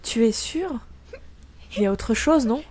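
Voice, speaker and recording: An actress playing a devoted young elder sister in an audio drama, telling of her past in a measured, earnest, literary way. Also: on the high side, animated, a little mellow, slightly rough. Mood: concerned. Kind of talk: audio drama acting and storytelling.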